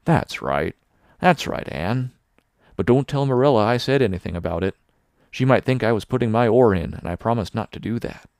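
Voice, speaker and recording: Man doing a shy old farmer, hushed. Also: lowish voice, lively intonation, creaky, dry recording, clean studio sound. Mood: coaxing. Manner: hushed, halting, coaxing.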